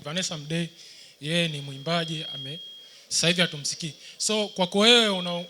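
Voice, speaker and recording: A man's voice: high voice, bright, forceful tone. A man in the audience putting a question to a panel at a live event. He talks at length, repeating himself and restarting his sentences. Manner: long-winded and repetitive, with many restarts. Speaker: audience member asking a question.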